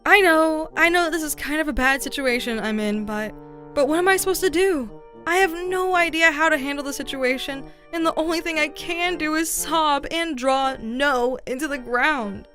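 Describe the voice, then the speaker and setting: A woman's voice: high-pitched, normal speed, bright, forceful tone, soft background music. A woman playing a childish, ditzy, sulky grown woman in a manga dub, whiny and dramatic. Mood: sulky despair. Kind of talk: exaggerated anime-style manga dub acting.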